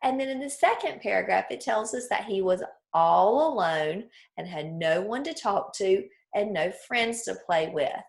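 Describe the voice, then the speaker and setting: A woman teaching children through a read-aloud, explaining things clearly and putting questions to her listeners. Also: medium pitch, animated, unhurried, few pauses.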